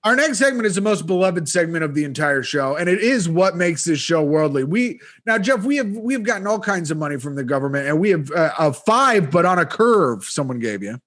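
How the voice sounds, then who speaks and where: High-voiced quick-riffing comedy podcast host. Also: male voice, big pitch swings, a bit bright. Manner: quick, rambling, riffing, laughing often. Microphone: video call.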